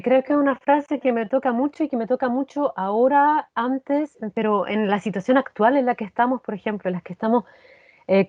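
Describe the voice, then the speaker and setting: A woman's voice: on the high side, dark tone, dry recording. Chilean woman, dry and slightly dull sound. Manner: reflective, repeats phrases as she thinks. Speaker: interview guest, actress. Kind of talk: conversational interview.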